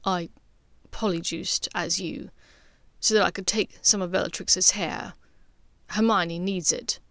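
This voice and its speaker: A woman's voice: on the low side, steady tone, a bit bright, no room sound. Woman voicing a grumbling, awkward young wizard. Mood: embarrassed. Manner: halting, begrudging, awkward.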